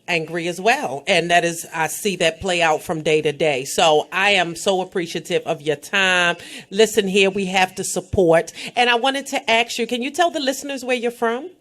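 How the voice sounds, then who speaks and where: An American woman hosting a radio interview, talking in an emphatic, lively way with frequent "um" and direct calls to her listeners. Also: lowish voice. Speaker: radio host. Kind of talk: radio interview and event promotion.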